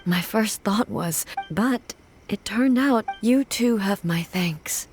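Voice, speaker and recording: A composed, stern ruler, played by a woman, speaks in an even middle voice, restrained and grave, weighing each word. Game music plays clearly beneath her. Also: mid-range voice, takes it slowly, natural voice. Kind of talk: video game voice acting.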